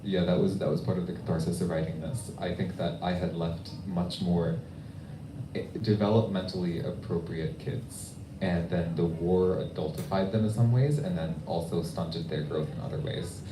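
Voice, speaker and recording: A man speaking conversationally as an author at a question-and-answer event, thoughtful and halting with pauses. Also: low voice, fairly even intonation. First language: English. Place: bookstore event room. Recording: echoey room, distant mic, noisy.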